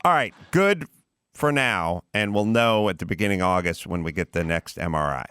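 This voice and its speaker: A man's voice: deep voice, expressive, normal speed, fairly bright tone, clear, resonant tone, no room sound, clean studio sound. A man hosting a podcast in loose, wry casual chat, swearing offhand and introducing callers. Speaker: podcast host.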